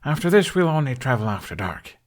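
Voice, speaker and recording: A man's voice: medium pitch, big pitch swings, brisk, voice pushed up, close to an everyday voice. A man voicing an eager, anxious boy adventurer in an audiobook, speaking with earnest urgency. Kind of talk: audiobook character acting.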